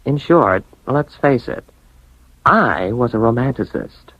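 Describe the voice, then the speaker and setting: A man's voice: medium pitch, expressive, takes it slowly, a bit bright, breathy edge, no echo, dull audio, exaggerated voice. An American man playing a lonely, diffident young office worker with romantic ideas in a 1950s radio drama, wry, articulate and hesitant. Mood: wry, wistful. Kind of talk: 1950s radio drama acting, first-person narration.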